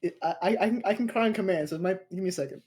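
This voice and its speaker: A man's voice: high voice, quick pace, a little mellow, husky. A young man co-hosting a casual gaming podcast, giggly and stammering as he talks. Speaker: podcast co-host.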